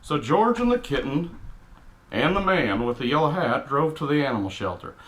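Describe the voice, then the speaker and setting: A man's voice: on the high side, big pitch swings, normal speed, fairly bright tone, poor audio. A man putting on a cranky middle-aged Texan dad with a Texan accent, reading a picture book aloud with blunt, exasperated asides. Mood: grumbling. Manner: grumpy, exasperated, blunt, mildly profane. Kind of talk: comic character storybook reading with grumbling asides.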